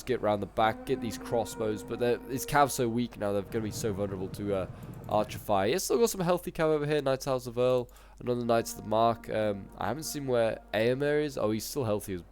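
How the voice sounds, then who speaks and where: A man with a bit of a cold, chatting casually through strategy-game commentary, rambling along and then bursting out excitedly at big moments. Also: mid-range voice, fairly quick. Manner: chatty, with sudden excited outbursts at big plays. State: sniffly, coming down with a cold.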